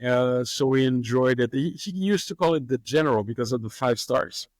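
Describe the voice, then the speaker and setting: A man answers questions at an easy, unhurried pace, often pausing on fillers and chuckling. His voice sits in a middle register and sounds close and dry, with no room around it. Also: mid-range voice, no echo. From Haiti. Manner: relaxed, hesitant with frequent fillers, laughs easily. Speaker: master distiller, guest. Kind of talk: interview answers.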